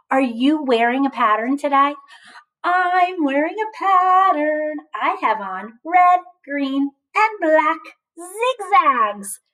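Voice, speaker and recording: Slow-paced woman teaching young children. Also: fairly high pitch. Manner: animated, encouraging, asks questions and pauses for answers. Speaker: early-years teacher reading a picture book aloud. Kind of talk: read-aloud lesson for young children.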